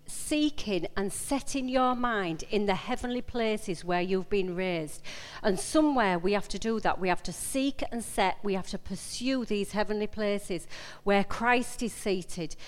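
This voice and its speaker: A woman with a Yorkshire accent giving an informal, personal sermon, emphatic and conversational, repeating phrases and putting questions to her listeners. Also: medium pitch. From Yorkshire, England. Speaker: preacher. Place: large indoor meeting room. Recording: echoey hall with long reverb.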